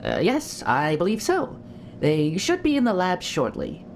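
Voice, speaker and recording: A man's voice: sits high, huge pitch swings, measured pace, gravelly, slight background noise, exaggerated voice. A man playing an anxious, deferential scientist in a sci-fi audio drama, earnest and hesitant. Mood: apologetic. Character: anxious, deferential scientist devoted to alien artifacts.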